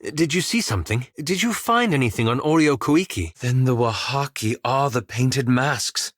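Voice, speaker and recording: A man playing a reserved, scholarly, self-doubting young elf adventurer in a video game, speaking in a formal, measured, wryly understated way. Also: mid-range voice, animated, a bit bright, no room sound, studio quality. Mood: pensive. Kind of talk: video game companion dialogue.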